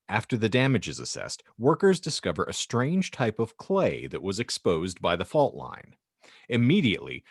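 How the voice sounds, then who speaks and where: A man's voice: lowish voice, husky, no echo, studio quality. A man co-hosting a podcast in a wry, playful way, moving between casual chat and reading aloud with theatrical phrasing. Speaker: podcast co-host.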